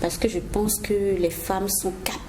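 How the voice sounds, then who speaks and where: A woman hosting a TV talk show, running a riddle game with guests in a studio, lively and laughing, reading riddles slowly. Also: medium pitch, background noise. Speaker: TV show host. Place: TV studio set.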